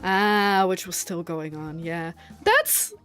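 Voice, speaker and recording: A woman's voice: on the low side, fairly even intonation, takes it slowly, a bit bright, clear, resonant tone, faint music behind. A young woman from the Netherlands, a native Dutch speaker, chatting casually and rambling with many fillers while streaming a game, often breaking into giggles. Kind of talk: casual chat while gaming.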